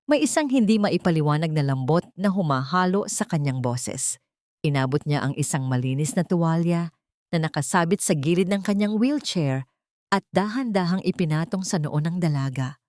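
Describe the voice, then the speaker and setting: A woman's voice: on the low side, lively intonation, moderate pace, a little mellow, no echo, clean studio sound. A woman narrating a dramatic audiobook story from a script.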